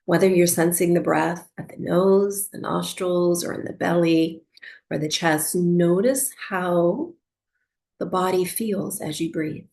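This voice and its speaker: A woman teaching breathwork and guiding a meditation for sleep, speaking softly in a soothing, unhurried way with long pauses. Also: on the low side, steady tone, gravelly.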